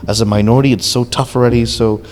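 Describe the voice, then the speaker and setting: A man's voice: mid-range voice, fairly even intonation, quick pace, a little mellow. A young man from Hong Kong, a social worker, speaking as a podcast guest and telling his life story in an earnest, candid way, with a lot of "you know" in between. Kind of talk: conversational interview storytelling.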